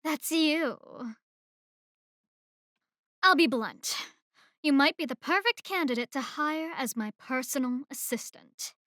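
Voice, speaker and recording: Slow, high-voiced haughty female boss played by an actress. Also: animated, pushed and bright, dry recording, studio quality, exaggerated voice. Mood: commanding, sultry. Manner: condescending, deliberate, mocking. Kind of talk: ASMR roleplay acting. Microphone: close microphone in a dry room.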